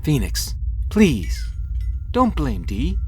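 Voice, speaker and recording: An actress playing an earnest, protective space crew member in a sci-fi audio drama. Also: female voice, rumbling low, wildly swooping pitch, drawn-out words, darkish tone, soft background music, exaggerated voice. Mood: pleading.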